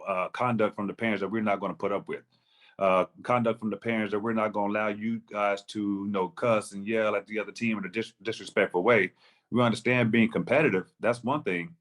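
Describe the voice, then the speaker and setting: A man with a fairly low voice speaks as a guest on a casual podcast. He talks in a measured, sincere, coach-like way about his work with young players. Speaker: youth football coach guest. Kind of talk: casual discussion.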